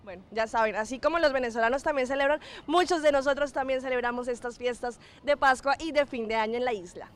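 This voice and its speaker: High-voiced woman news presenter. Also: fast, hardly any pauses, clean tone. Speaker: news presenter and street reporter.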